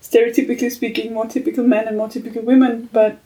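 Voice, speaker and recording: A woman's voice: fairly high pitch, level tone, fast, warm tone. A woman writer speaking earnestly and reflectively in a casual podcast discussion. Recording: far from the mic.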